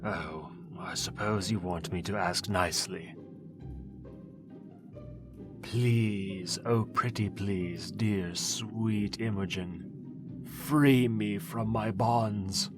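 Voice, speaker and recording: Pompous chained necromancer, played by a man: very low voice, drawn-out delivery, wheedling, over clear music. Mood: impatient, wheedling. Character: ancient bound male necromancer, pompous and wheedling. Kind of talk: ASMR audio roleplay.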